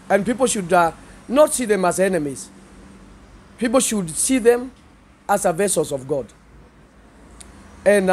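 A middle-aged man with a Kenyan accent, a pastor speaking earnestly and haltingly in an interview, pausing and repeating phrases. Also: high-pitched, a little mellow, a little hiss or hum. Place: seated indoors in a small studio set. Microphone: handheld interview microphones held by the interviewer.

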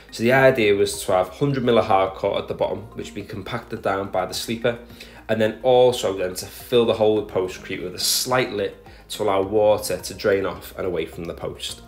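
A man with a low voice talks methodically through a do-it-yourself project in a recorded voice-over. Faint music plays quietly underneath him. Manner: plain, methodical explaining with small restarts. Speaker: garden DIY vlogger. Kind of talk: voice-over narration of a DIY project.

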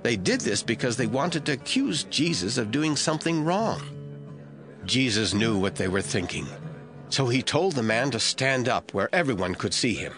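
A man narrating a scripture audio drama from a script in a deliberate storytelling manner. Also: mid-range voice, big pitch swings. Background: faint music.

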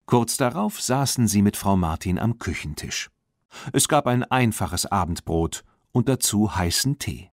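A man narrating a children's audiobook in an expressive storytelling manner. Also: on the low side, measured pace, no room sound, clean studio sound.

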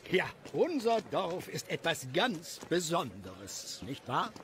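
A man's voice: fairly high pitch, huge pitch swings, slow, background noise, exaggerated voice. A man voicing a fussy, deferential village leader, ceremonious and eager to please.

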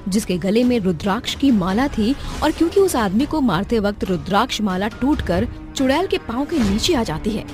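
A woman narrates a cartoon horror tale in a dramatic storyteller manner. Her voice sits at a middle pitch, with quiet music underneath.

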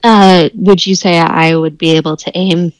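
A woman's voice: fairly low pitch, fairly even intonation, moderate pace, dry recording, rough recording. A woman talking casually as a player in a tabletop roleplaying game.

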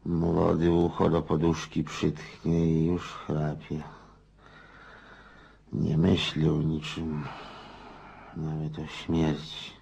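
Garrulous old landowner, husky, slow, restless, folksy, radio play. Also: male voice, a bass voice, monotone, mellow, lo-fi sound, heavily acted. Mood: brooding. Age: older. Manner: rambling, folksy, muses aloud. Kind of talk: radio drama acting.

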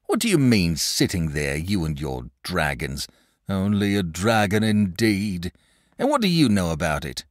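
A man's voice: low voice, sing-song, unhurried, dry recording, studio quality. A man voicing a nervous, wordy middle-aged country shepherd with rustic speech. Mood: indignant.

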